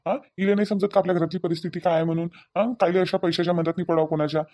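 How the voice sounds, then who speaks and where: A hot-tempered village husband, acted by a man, with a high voice and quick delivery. He scolds in repeated questions, in a rural Vidarbha Marathi accent. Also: fairly even intonation, darkish tone, close to an everyday voice. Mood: exasperated. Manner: scolding, repetitive rhetorical questions. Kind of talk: amateur Marathi village family drama.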